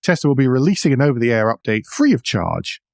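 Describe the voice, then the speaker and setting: A man's voice: mid-range voice, big pitch swings, brisk, gravelly, a little mellow, slight background noise. A man co-hosting a motoring news podcast, moving between read-out items and conversational, wry asides. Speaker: podcast co-host. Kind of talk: conversational car news discussion, partly read out.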